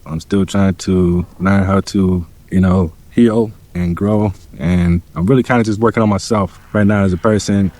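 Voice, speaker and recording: A man speaking slowly and quietly in a subdued, reflective confessional. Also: sits low, steady tone. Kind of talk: personal confessional video.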